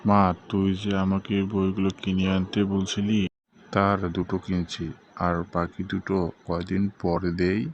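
Man playing a low, flat-toned village father. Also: measured pace. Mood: gentle, apologetic. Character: caring father of a poor village family, short of money. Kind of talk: acted cartoon dialogue. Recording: dull sound with faint noise and clicks.

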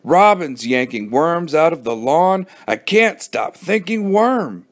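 A man's voice: mid-range voice, lively intonation, moderate pace, darkish tone, lightly acted. A man voicing a queasy, brooding boy in a children's audiobook, weary and dramatic in his dread.

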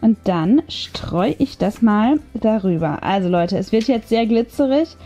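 A woman's voice: medium pitch, expressive, measured pace, warm tone, lo-fi sound. A woman hosting a craft video, casually narrating each step and thinking aloud as she goes. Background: background music.

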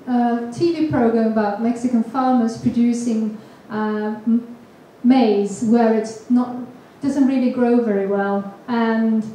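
Woman interpreter with a mellow, hesitant delivery, heard from afar in a reverberant room. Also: fairly high pitch, far from the mic, a little hiss or hum. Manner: hesitant, many fillers. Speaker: interpreter summarizing a speaker. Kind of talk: summarizing a talk. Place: large room with seated audience. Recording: echoey room recorded from afar.

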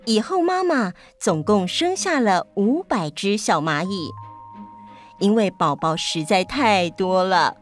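Woman storyteller with a medium-pitched voice. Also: fairly bright tone. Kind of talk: children's story narration.

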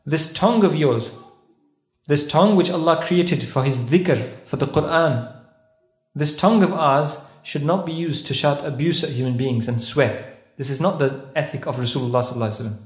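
A man's voice: fairly high pitch, a little fast. A man giving a sermon-style lecture at a mosque, putting questions to the audience and reciting Arabic verses. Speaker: visiting speaker at a mosque conference. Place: carpeted mosque prayer hall, indoors. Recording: echoey mosque hall.

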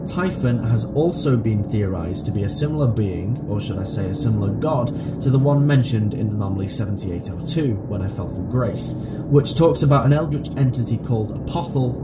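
A man reading scripted horror narration like a documentary case file, in a measured, formal, ominous manner. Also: fairly low pitch. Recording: echoey voice-over under loud music. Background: loud music.

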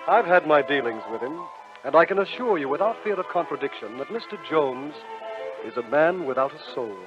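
An actor playing a silky, devilish stranger in a radio drama, coaxing with theatrical, mock-courteous charm. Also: male voice, fairly high pitch, lively intonation, fairly quick, lightly acted. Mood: insinuating. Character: sly, silky devilish stranger who buys shadows. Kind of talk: old-time radio drama acting. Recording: dull vintage radio recording with music.

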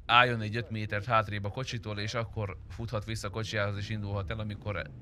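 A man chatting casually while roleplaying in a game, blunt and quick to swear. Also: on the low side, level tone, pushed and bright. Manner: blunt, foul-mouthed.

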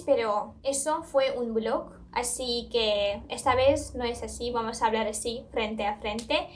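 A young woman from Turkey with a Turkish accent, a native Turkish speaker, talking casually to camera as a vlogger, chatty and earnest with frequent restarts. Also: on the high side.

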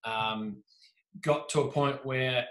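A man in his thirties with a New Zealand accent, telling his story as a podcast guest on a video call, animated and jokey. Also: medium pitch, takes it slowly. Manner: animated, gestures a lot, jokey. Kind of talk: casual storytelling. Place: small room indoors. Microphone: video call with desk mic. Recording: echoey room.

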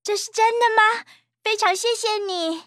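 A woman's voice: extremely high pitch, moderate pace, a bit bright. A woman voicing a cautious, loyal little girl in a cartoon, talking earnestly and with worry. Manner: earnest, worried. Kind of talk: cartoon dubbing.

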